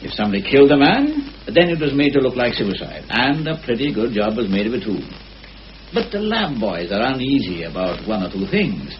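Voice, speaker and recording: A man playing a genial, shrewd local police inspector in a radio drama, deliberate and chatty. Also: medium pitch, very animated, lightly acted. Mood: measured, explanatory. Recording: muffled, noisy old radio drama recording.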